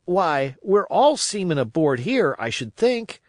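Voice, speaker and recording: A man reading a storybook, voicing an eager young sailor lad among pirates, questioning in rough sea talk. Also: high voice, big pitch swings, unhurried, no room sound, studio quality.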